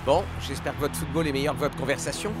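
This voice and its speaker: An actor voicing a laid-back young football player in a French cartoon dub. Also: male voice, mid-range voice, quick pace, lightly acted. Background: background music.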